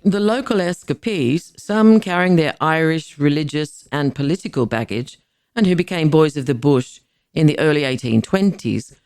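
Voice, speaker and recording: A man reading scripted history narration in a measured, formal way. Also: on the high side, vocal fry, no room sound, clean studio sound. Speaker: podcast narrator.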